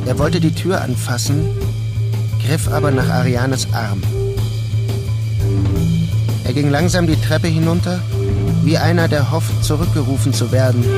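A man's voice: medium pitch, close to an everyday voice. An actor playing a brooding, disillusioned man in a literary radio drama, introspective and intense. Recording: prominent guitar music behind the voice.

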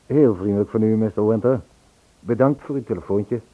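A man's voice: fairly low pitch, fairly quick, close to an everyday voice. An actor playing a charming, impulsive man who courteously tells of his romance in a Dutch radio play. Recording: tinny, band-limited old radio recording.

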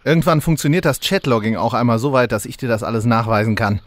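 A man's voice: mid-range voice, fast talker, lightly acted. A man playing a weary, officious game master in a comic audio drama, exasperated and sardonic.

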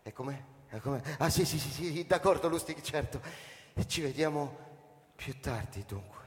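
High-voiced bewildered space captain, acted by a man, reverberant. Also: close to an everyday voice. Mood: distracted. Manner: halting, repeating himself, thinking aloud. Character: bewildered space captain, tired, increasingly suspicious and anxious. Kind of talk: radio drama acting, inner monologue. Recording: long reverb, music underneath.

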